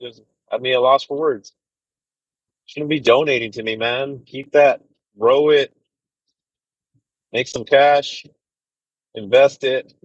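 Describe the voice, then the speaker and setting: A man in his thirties chatting casually with viewers on a livestream, rambling and relaxed with lots of fillers. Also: medium pitch, slow pace, pauses often, no room sound, dull audio. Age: 30s. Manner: laid-back, rambling, full of fillers and "dude". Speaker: truck driver livestreamer. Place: inside a truck cab.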